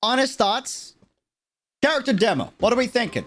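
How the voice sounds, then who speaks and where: A man's voice: very high-pitched, very animated, normal speed, a bit bright. A man giving casual, emphatic livestream commentary, chatting to viewers in slang and repeating words for stress. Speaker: streamer reacting to a game video.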